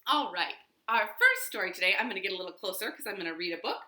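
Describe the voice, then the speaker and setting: A woman hosting storytime for young children, speaking in an animated, clearly paced way as she shares rhymes and picture books from her living room. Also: medium pitch, quick pace. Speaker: children's storytime host at a library. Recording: roomy, echoing home living room.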